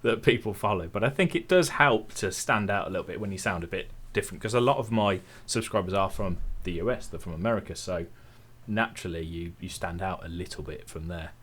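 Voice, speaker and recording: Deep-voiced relaxed man with Midlands accent. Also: brisk, few pauses, breathy edge. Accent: English Midlands. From English Midlands. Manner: relaxed, chatty, self-deprecating. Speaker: tech YouTuber guest. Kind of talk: casual interview chat.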